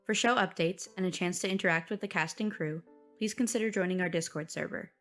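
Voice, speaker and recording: A woman announces podcast credits in a slightly low voice. She reads from a script, with music faintly behind her. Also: quick pace, fairly bright tone. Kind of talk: scripted narration.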